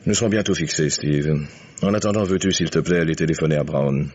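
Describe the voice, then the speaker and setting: A shrewd older gentleman in a 1950s radio mystery, with a very deep voice. He speaks courteously and deliberately, with suave irony and quiet command, on a dull vintage recording. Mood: calm, commanding.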